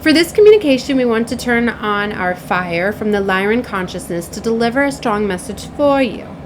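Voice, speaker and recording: A woman's voice: a little high, lively intonation, normal speed. A woman giving an informal, effusive spiritual card reading, drawing out key words for emphasis. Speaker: tarot and oracle card reader. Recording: clearly noisy background.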